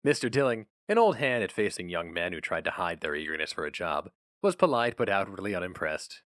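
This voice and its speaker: A man's voice: low-pitched, very animated, fairly quick, a bit bright, dry recording, clean studio sound. A man reading a short story aloud as dramatic audiobook narration. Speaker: story reader.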